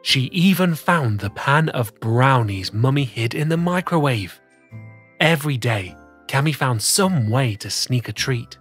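Picture-book narrator and host: expressive, very lively pitch, husky edge, soft music behind. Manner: expressive, deliberate storytelling for young children. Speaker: children's story reader and host.

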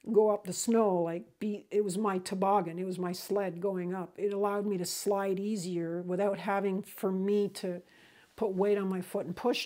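A woman's voice: mid-range voice, darkish tone. A woman in her fifties telling a personal hiking story to camera in a small room, rambling and correcting herself, livening up when she quotes people. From outside the United States. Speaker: hiking vlogger. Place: small room indoors with LED light bar.

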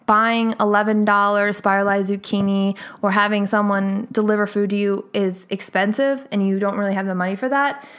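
A young woman hosts her own podcast and talks straight to her listeners in a relaxed, chatty way. She laughs often and fills her sentences with "like" as she goes. Her voice sits at an ordinary middle pitch for a woman. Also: normal speed, few pauses, smooth. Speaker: podcast host. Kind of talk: solo podcast talk.

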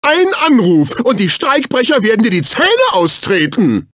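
A man's voice: sits high, very animated, normal speed, fairly bright tone, dry recording, studio quality, exaggerated voice. A male actor voicing a fussy, snobbish, servile executive assistant in a dubbed cartoon video game, with a prissy, condescending, exclamatory delivery. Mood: indignant, haughty. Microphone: close studio microphone.

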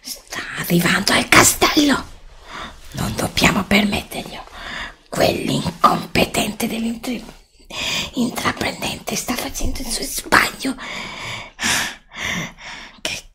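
A middle-aged woman voicing a scheming evil witch queen of darkness in an ASMR roleplay, hissing curses in slow menacing whispers close to a desk mic. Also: a little high, sharp tone. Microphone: desk condenser mic close up.

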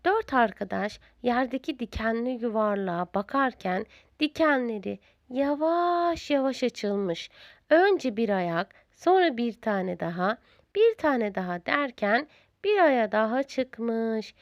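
A woman narrating a children's storybook in a deliberate, expressive storyteller's manner. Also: on the high side, no room sound, lo-fi sound.

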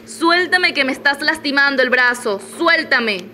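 Actress doing scheming defiant widow, radio soap, taunting, high voice, noisy background. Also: female voice, a little slow, bright, forceful tone, heavily acted. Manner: taunting, dramatic. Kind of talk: radio soap opera acting.

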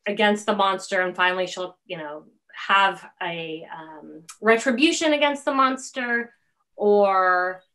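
Middle-aged woman, interview host, casual, halting, thinking out loud, medium pitch, video call.

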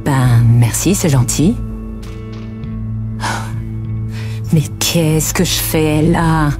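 A woman's voice: sits low, slow. A woman playing a brash, defensive, sarcastic pregnant woman in an audio drama, blunt and colloquial. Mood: softened. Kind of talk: audio fiction dialogue. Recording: music behind the voice.

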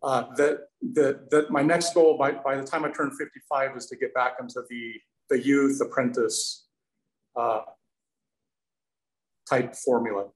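A man's voice: mid-range voice, fairly even intonation, measured pace. A man in his forties answering audience questions on a video call from an indoor workshop, in an earnest, thankful way. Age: 40s. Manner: earnest, thankful, some restarts. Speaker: presenter, canoe maker. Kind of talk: casual Q&A answers. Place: indoor studio with windows. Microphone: video call, webcam. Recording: roomy echoey video call audio.

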